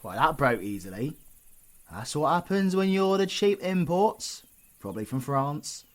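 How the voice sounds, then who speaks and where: A man's voice: fairly high pitch, sing-song, normal speed. A British man playing a cocky, sarcastic, menacing supervillain in an audio roleplay. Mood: cocky, mocking. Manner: sarcastic, theatrical, foul-mouthed British slang. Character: cocky, taunting supervillain, flippant yet menacing killer. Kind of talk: ASMR audio roleplay acting.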